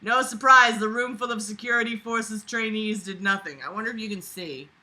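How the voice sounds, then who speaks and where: A woman with a very bright, piercing voice reads a book aloud for an online audience. She comments as she goes in a sarcastic, exasperated and freely swearing manner. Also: fairly high pitch, fairly quick, low-quality recording. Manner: sarcastic, exasperated, foul-mouthed.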